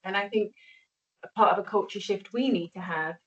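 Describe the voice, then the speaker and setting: A woman's voice: mid-range voice, normal speed, fairly bright tone, recorded from a distance. A woman who is a GP and board member, summing up a patient's story in an emphatic, reassuring way. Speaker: associate non-executive director and GP. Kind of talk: meeting comment. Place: meeting room. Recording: dull lo-fi meeting audio.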